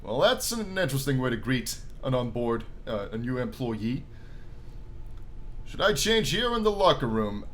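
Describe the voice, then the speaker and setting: A man playing a game on his channel, reading the story aloud as animated narration and dropping in casual, jokey asides. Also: mid-range voice, moderate pace, slight background noise. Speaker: gaming YouTuber reading a visual novel aloud. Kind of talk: let's play commentary mixed with dramatic read-aloud narration.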